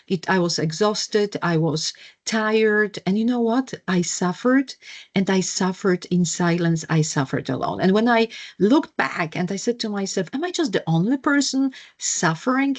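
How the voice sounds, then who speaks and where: A middle-aged woman with a Polish accent, a wellness coach presenting a workshop over a video call, with many fillers and self-corrections. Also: mid-range voice. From Poland.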